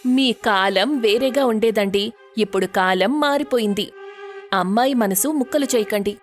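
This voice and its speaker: An indignant mother in a moral tale, acted by a woman, with a fairly high voice and music behind her. She protests and reproaches her husband as she stands up for her child. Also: normal speed, close to an everyday voice. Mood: pleading. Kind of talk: dramatized moral story voice acting.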